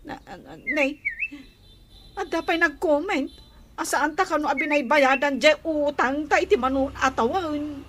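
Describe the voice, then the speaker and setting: A gossipy, dramatic Ilocano-accented woman played by an actress in a comedy radio drama. Her fairly high voice dawdles through drawn-out exclamations, with clear noise in the recording. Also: a bit bright. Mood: distressed.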